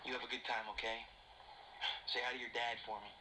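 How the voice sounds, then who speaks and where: An actor playing a young man gently saying goodbye on the phone in a film clip. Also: medium pitch, level tone, quick pace. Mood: gentle. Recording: movie clip with loud noise.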